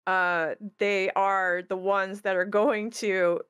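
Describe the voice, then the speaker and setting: A woman with a clear, resonant voice at a middling pitch. She chats casually about creative work in a wry, good-humoured way. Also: little pitch movement, slow, a bit bright, muffled recording. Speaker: game creator discussing her project. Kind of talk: casual discussion.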